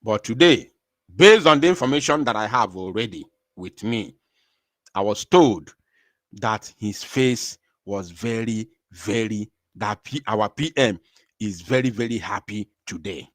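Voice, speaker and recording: A man hosting a livestream, talking with emphatic repetition and sudden swings from quiet to loud. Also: lowish voice, expressive, unhurried, dry recording, studio quality. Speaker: livestream host. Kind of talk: impassioned livestream talk.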